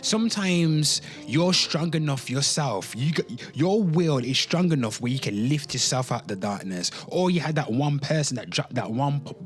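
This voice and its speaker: Guy doing a casual streamer rant, really lively up and down delivery, repeats himself, music playing behind. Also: medium pitch. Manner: emphatic, slangy, sweary, repeats phrases, often addresses listeners as "people". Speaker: host of a pop culture commentary show. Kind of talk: casual rant and commentary, off the cuff.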